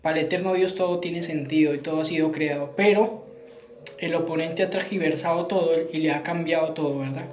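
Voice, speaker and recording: A man's voice: on the high side, steady tone, fairly quick. A man preaches an earnest Bible lesson, circling back over his phrases and checking in with tag questions. His voice sits in a roomy space with a noticeable echo. The recording is dull and lo-fi, with a faint hiss underneath. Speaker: Messianic preacher. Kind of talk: Bible teaching sermon.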